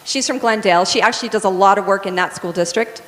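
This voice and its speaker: A woman's voice: mid-range voice, quick pace, a bit bright, slight background noise. A woman casually introducing panelists at a church event, chatty and informal with frequent ums. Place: church hall. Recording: echoey hall with a faint audience.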